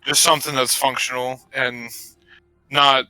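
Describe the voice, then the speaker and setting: Guy playing an rpg, super bright voice, casual, close dry sound, bit of music. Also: medium pitch, little pitch movement, unhurried, clean tone, dry recording, poor audio. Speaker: tabletop roleplaying player. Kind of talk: casual in-game chat.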